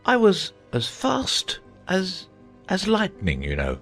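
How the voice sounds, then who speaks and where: Give the part of a gentle old knight to a man acting it out, a solemn, muddled inventor in a storybook drama. He rambles earnestly and thoughtfully, his pitch swinging widely from low to high, with faint music behind him. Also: wildly swooping pitch, slow, exaggerated voice. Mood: solemn, rambling.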